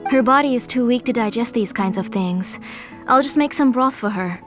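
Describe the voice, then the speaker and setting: An actress playing a gentle mother caring for her ill daughter in a video game cutscene, with a soft, calm, motherly voice. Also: a little high, normal speed, over music, lightly acted.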